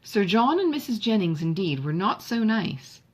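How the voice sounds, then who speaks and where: Husky-voiced woman narrating a classic novel. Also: mid-range voice, sing-song, moderate pace. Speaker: audiobook narrator.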